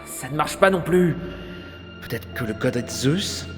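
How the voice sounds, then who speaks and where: An actor playing a bold, wry adventurer hero in a French audio drama set in ancient Athens. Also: male voice, on the high side, lively intonation, unhurried. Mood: keen, probing. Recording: long reverb, loud music behind.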